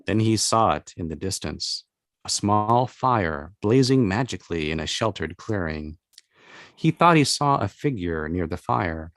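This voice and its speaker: Low-voiced man reading a story aloud. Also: expressive, unhurried, fairly bright tone, no room sound, studio quality. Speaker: author reading own novel aloud. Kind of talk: literary audiobook-style narration of a fantasy tale.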